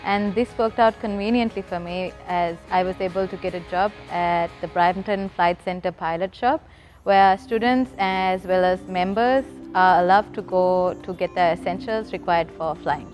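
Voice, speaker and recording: A young woman from Sri Lanka, a student pilot, speaking in a measured, slightly scripted testimonial. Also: on the low side, a little mellow, faint music behind. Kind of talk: testimonial interview.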